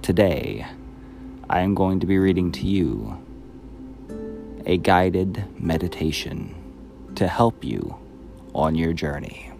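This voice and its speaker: A man reading a scripted guided meditation in a calm, measured, soothing manner. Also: low-pitched, vocal fry, faint music behind.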